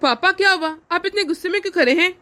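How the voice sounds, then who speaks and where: A woman's voice: very high-pitched, quick pace, bright, forceful tone. A woman voicing a principled young son in a cartoon family drama, indignant and pleading. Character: upright young grown son, defending his mother.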